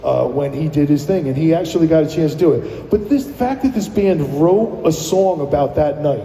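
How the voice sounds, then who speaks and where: A man from New Jersey, a guest musician, telling stories in a casual radio interview, rambling and animated with many fillers, in an echoey live room. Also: a little high, moderate pace. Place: live broadcast at a plaza venue.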